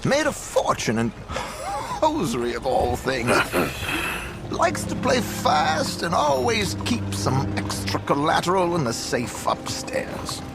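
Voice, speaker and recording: An actor playing a flamboyant, scheming gentleman con artist in a video game, with a theatrical, grandiose, coaxing delivery. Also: high voice, wildly swooping pitch, takes it slowly, creaky, loud music behind the voice, full character voice. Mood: assured, scheming. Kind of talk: video game cutscene acting.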